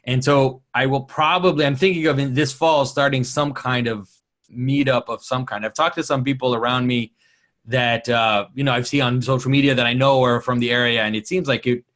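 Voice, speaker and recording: A man's voice: mid-range voice, fairly even intonation, brisk, fairly bright tone. A man from Quebec with a French Canadian accent, French his first language, talking things through in a thoughtful, hesitant way with many restarts. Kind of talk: casual conversation.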